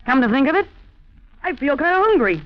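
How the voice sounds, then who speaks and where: A woman's voice: sits high, big pitch swings, a little fast. An actress playing a bossy, greedy and callous female killer in a 1940s radio drama, with slangy, scornful talk. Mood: breezy, pushy. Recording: muffled, noisy 1940s radio recording.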